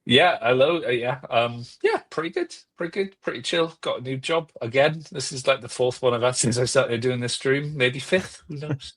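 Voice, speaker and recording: A man's voice: medium pitch, big pitch swings, moderate pace, a bit bright, no room sound. Jokey male podcast guest, dry sound. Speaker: podcast panellist. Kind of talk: casual chat.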